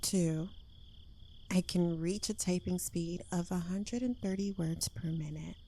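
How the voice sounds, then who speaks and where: A smug, clingy young witch played by a woman in an intimate ASMR roleplay. She drawls slowly in a soft, mellow voice with a creaky edge, teasing and possessive, slightly unhinged. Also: lowish voice. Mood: playful.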